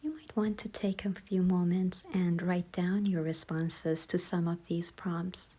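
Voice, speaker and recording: A woman leading a guided visualization in a soft, hushed, breathy voice, gentle, unhurried and soothing. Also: fairly low pitch, flat delivery. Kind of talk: slow guided meditation narration.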